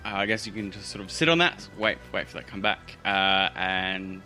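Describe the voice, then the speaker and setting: A man with a fairly low, bright voice runs a tabletop game as game master. He chats casually with his players, and music plays faintly behind him. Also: level tone, brisk.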